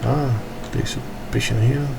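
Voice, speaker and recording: A man's voice: medium pitch, fairly even intonation, normal speed, creaky, heavy background noise. A tired man from Denmark with a Danish accent, rambling with hesitant fillers as he tours his in-game hangar. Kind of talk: casual game walkthrough commentary.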